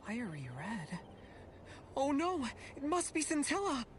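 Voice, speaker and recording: A woman playing an earnest, wounded youngster in a video game cutscene, speaking in a halting, emotional way. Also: sits high, big pitch swings, slight background noise. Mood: alarmed. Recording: loud game effects behind voice.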